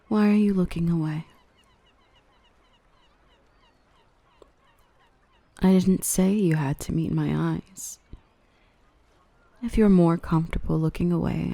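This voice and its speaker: A woman playing a dominant yet protective dark elf mistress in an ASMR roleplay, by turns cutting and tender. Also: lowish voice, creaky, dry recording. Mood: gentle, reassuring. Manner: stern and cutting to traders, tender and coaxing to the human. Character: dominant female dark elf, protective, gentle with her rescued human.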